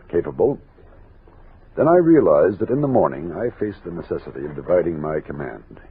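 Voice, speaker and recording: An actor playing a steady frontier army captain who leads an expedition and narrates it in measured, commanding old-time radio style. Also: male voice, low voice, breathy edge, full character voice. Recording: tinny noisy old radio recording.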